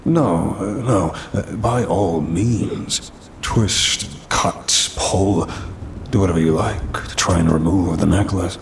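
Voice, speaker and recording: A man's voice: takes it slowly, gravelly, exaggerated voice. A man playing a smug, ancient demon trapped in a cursed necklace in an ASMR roleplay, with haughty, teasing, old-fashioned speech. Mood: amused, condescending. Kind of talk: ASMR audio roleplay acting. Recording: rumbling rain and thunder noise.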